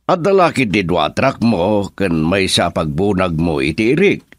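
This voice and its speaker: A man's voice: sits low, big pitch swings, a little slow, dry recording, studio quality. An actor playing an older, encouraging businessman boss in an Ilocano radio drama. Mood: persuasive.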